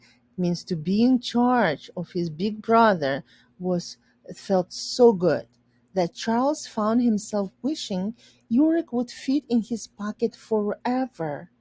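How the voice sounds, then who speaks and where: Slow-paced woman teacher reading aloud. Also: medium pitch, lively intonation, a little mellow, husky, dry recording. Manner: explanatory, repeats and rephrases for learners. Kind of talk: storybook read-aloud with simple vocabulary explanations.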